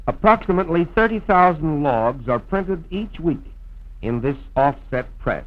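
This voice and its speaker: An American man narrating a scripted promotional film in a formal, measured old-time radio announcer's style, on a vintage soundtrack. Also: on the high side, lively intonation, muffled recording. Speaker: radio program host and narrator.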